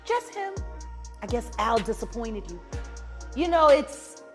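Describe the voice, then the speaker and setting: A woman's voice: on the high side, big pitch swings, a little slow. A woman talking casually and with strong opinions, blunt and profane, pressing her points with emphatic rhetorical questions. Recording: music behind the voice. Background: music.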